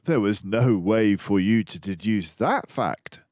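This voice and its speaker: A man's voice: lowish voice, measured pace, a little mellow, no room sound, voice pushed up, close to an everyday voice. A man voicing an earnest, genteel mouse companion to a detective in a storybook, puzzled and admiring. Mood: amazed.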